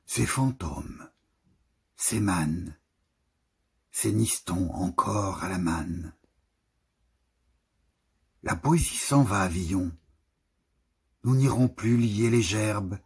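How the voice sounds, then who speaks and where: A man reads verse at a slow, measured pace. His voice is very husky and breathy, with a gravelly creak underneath. He sets each short phrase apart deliberately. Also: on the low side. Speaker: poetry reader. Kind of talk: poetry recitation.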